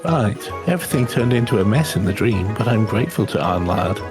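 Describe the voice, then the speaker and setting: An earnest young boy played by a man speaks in a video game scene. The delivery is gentle, simple and sincere, and music plays clearly behind it. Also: on the low side, expressive, brisk, close to an everyday voice. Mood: earnest, grateful. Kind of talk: acted reading of video game dialogue.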